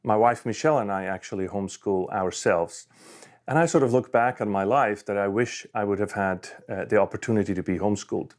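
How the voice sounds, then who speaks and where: A man's voice: lowish voice, a little fast. A man in his fifties from Switzerland, giving a conversational personal talk at a conference, with frequent fillers and restarts. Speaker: conference speaker.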